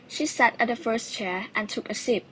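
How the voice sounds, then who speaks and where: A female narrator reads a scripted children's fairy tale. Her pitch is a bit high, and clear background noise runs under the recording. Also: steady tone, normal speed.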